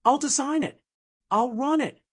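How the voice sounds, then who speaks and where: A woman's voice: high-pitched, a little slow, pitched above the performer's own voice. A woman voicing a principled, diplomatic event planner in her thirties, earnest and persuasive, in an audiobook drama.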